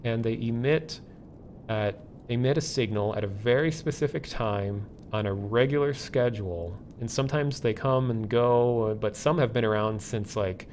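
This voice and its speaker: Man explaining casually, faint rumble behind. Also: darkish tone. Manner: halting, thinking aloud. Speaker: livestream host. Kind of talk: casual live explainer, unscripted.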